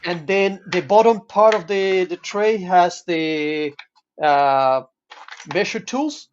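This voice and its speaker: A man from Chile with a Chilean Spanish accent, speaking English as a second language in a halting, friendly casual chat over a video call. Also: sits high, slight background noise. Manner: halting, searching for words, friendly. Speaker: team supporter and maker. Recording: dull lo-fi call audio.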